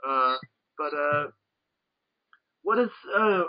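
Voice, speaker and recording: A man interviewing casually, phrasing his questions in a halting, tentative way. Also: a little high, lively intonation. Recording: dull, lo-fi radio-like call audio.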